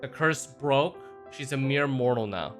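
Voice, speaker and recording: A man's voice: mid-range voice, unhurried, a bit bright. A man giving casual, rambling, sweary commentary as a game livestreamer. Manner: rambling, repeats phrases, sweary. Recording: game music behind the voice.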